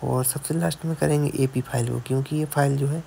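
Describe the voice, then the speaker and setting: A man walks viewers through fixing a phone on his computer, explaining each step and pausing to check they follow. His voice is gravelly and mellow, held in a flat, even pitch. A faint hiss sits under the recording. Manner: explains step by step, keeps adding "theek hai". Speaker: mobile repair technician. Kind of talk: screen-recorded tutorial narration. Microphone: voice-over on a screen recording.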